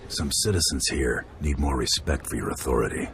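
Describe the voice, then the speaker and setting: A man's voice: rumbling low, fairly quick, background noise. An actor playing a tough male bounty hunter who talks in a blunt, defiant way.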